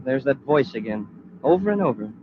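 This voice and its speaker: An actor playing an eager, earnest young space cadet in a 1950s radio adventure serial. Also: male voice, mid-range voice, very animated, brisk, a little hiss or hum, natural voice. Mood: dreamy, dazed. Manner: gee-whiz, earnest. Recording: dull vintage radio recording.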